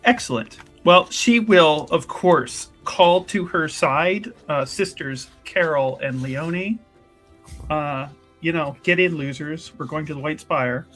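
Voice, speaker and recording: A man playing a tabletop roleplaying game, improvising his character's actions in a playful, measured way with pauses. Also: a little high, a bit bright, soft background music. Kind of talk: improvised in-game narration and chat.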